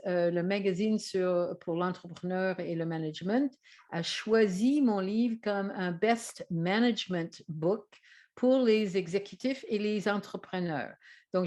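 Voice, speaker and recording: Older English-Canadian woman, native English speaker; hesitant trainer pitch, mid-range voice. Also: dark tone. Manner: hesitant with frequent fillers. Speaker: trainer and consultant. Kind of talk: presentation to camera.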